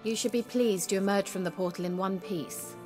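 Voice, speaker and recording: A woman's voice: medium pitch, fairly even intonation, a little fast, darkish tone, soft background music, natural voice. A woman playing a confident, flirtatious sorceress with a formal, self-assured manner in a video game. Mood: composed. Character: confident, haughty, flirtatious sorceress guiding a witcher.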